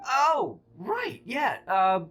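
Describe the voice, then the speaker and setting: An actor playing a goofy, good-hearted oni gang leader in a video game, boastful and swaggering, stammering when flustered. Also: male voice, extremely high pitch, huge pitch swings, drawn-out words, a bit bright, faint music behind, heavily acted. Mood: sheepish. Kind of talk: animated video game voice acting.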